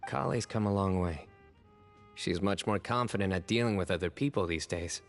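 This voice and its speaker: Stern young game guardian: lowish voice, measured and deadpan, self-assured, music underneath. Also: male voice. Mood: calm. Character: stern, composed young male guardian, quietly fond of friends. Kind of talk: game voice acting.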